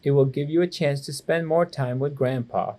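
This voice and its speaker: A man's voice: medium pitch, moderate pace, darkish tone, close to an everyday voice. A man reading the part of a firm, serious mother in a children's storybook.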